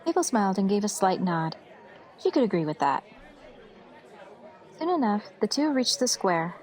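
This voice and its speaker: A woman's voice: medium pitch, very animated, moderate pace, darkish tone. A woman reading scripted fantasy story narration as the narrator of an audio series. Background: festival crowd ambience.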